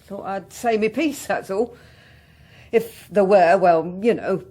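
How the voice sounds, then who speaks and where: An actress playing a blunt, loyal British secretary in a political radio drama, with a no-nonsense, teasing manner. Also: female voice, medium pitch, slow, dark tone. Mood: concerned, frank. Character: brisk, blunt, loyal secretary in a parliamentary whip's office.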